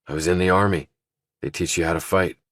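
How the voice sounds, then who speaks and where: A man's voice: sits low, steady tone, fast, a bit bright, dry recording, clean studio sound. A man voicing a tough, guarded young ex-army fighter with a terse, blunt manner in an audiobook. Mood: guarded, firm.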